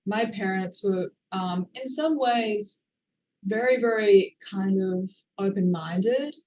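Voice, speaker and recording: A woman's voice: mid-range voice, takes it slowly, a little mellow, highs cut off. A woman, an author, answering interview questions in a thoughtful, hedging way with many fillers. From Australia. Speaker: author guest.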